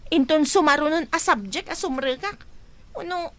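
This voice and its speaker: A woman's voice: high-pitched, normal speed. A woman playing a defiant, irritable young female student in an Ilocano radio drama. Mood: whiny, evasive. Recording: radio drama with music bed.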